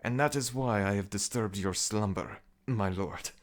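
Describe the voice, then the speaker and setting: A man plays an underworld servant in an audio roleplay, pitched well up from a deep natural voice. The figure is nervous and servile. He speaks in a halting, deferential way, as if reporting to a master. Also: close to an everyday voice. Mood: anxious, reporting. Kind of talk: ASMR audio roleplay acting.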